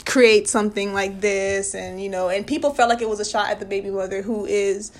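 A woman with an American accent and a mid-pitched voice hosts a casual pop culture discussion. She talks bluntly and with plenty of slang, stating her opinions directly. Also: level tone, fairly quick. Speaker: talk show or podcast host.

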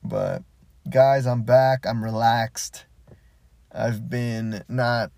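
A man's voice: mid-range voice, fairly even intonation, extremely slow, creaky, darkish tone, no room sound. A man hosting a casual solo podcast from his parked car, a native Spanish speaker, talking in a rambling, laid-back way with frequent restarts. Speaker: podcast host.

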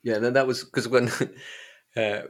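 A man hosting a podcast, chatting casually about books in a halting way, often repeating and correcting himself. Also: medium pitch, level tone. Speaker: podcast host. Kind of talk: casual book chat.

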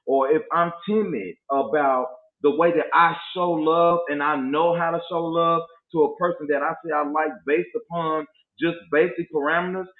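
A man in his forties talking casually about dating, emphatic and preachy. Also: fairly high pitch. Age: 40s. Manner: preachy, emphatic, slips into mock voices to make a point. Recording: echoey, tinny phone-like sound.

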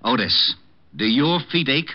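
An actor playing a sarcastic police lieutenant bossing an underling in a 1940s radio drama, sharp and mocking. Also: male voice, mid-range voice, animated, measured pace, lightly acted. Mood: mocking, threatening. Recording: dull old radio recording.

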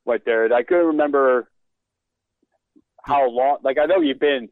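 A man hosting a casual podcast chat, talking in a relaxed, hesitant way with lots of fillers. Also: medium pitch, moderate pace, dry recording. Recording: tinny phone-like line.

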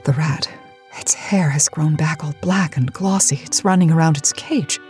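An actress plays an aging, driven cosmetics tycoon in a campy radio play. Her voice is very low for a woman, cool and commanding, with clipped, imperious phrasing. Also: moderate pace, darkish tone, faint music behind, natural voice. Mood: intrigued. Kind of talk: campy satirical audio drama acting.

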